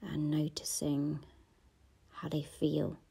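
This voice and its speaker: Woman meditation guide: dark, gravelly voice, soft and half-whispered, unhurried. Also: deep voice, monotone. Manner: slow, soft-spoken, often half-whispered.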